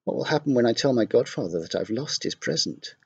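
A man voicing a young girl in a storybook, pleading and tearful in a raised, husky voice. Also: mid-range voice, expressive, fast, a little mellow, dry recording, highs cut off, voice pushed up, full character voice. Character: little girl with magic raindrop necklace.

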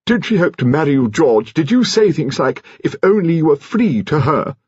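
A man's voice: a little high, sing-song, moderate pace, darkish tone, husky, dry recording, highs cut off, voice pushed up. A man voicing a haughty, commanding old aristocratic lady who speaks with firm authority. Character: imperious stout elderly aristocratic lady.

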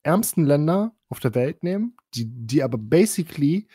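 A man chatting casually on a podcast, excitable and crude, swearing and mixing in English slang. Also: fairly high pitch, moderate pace, slightly rough, no room sound, clean studio sound. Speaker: podcast host. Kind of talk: casual chat.

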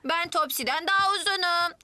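A woman's voice: very high-pitched, moderate pace, bright, forceful tone, slight background noise, exaggerated voice. A woman voicing an eager, proud little boy of about five in a children's drama dub, earnest and childlike. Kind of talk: Turkish dub of children's live-action show.

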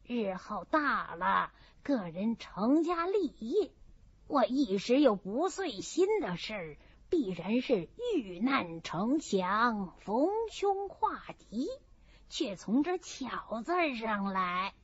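A woman's voice: fairly high pitch, very animated, slow, husky, dry recording, exaggerated voice. A woman voicing a good-humoured, humble old peasant granny in an audiobook, folksy and full of exclamations. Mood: cheerful, assured. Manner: folksy, exclaiming, self-effacing. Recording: dull, muffled audio.

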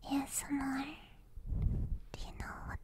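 A woman streaming ASMR, chatting softly with viewers close to a binaural microphone and often slipping into whispers. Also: moderate pace. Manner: soft-spoken, often dropping into whispers.

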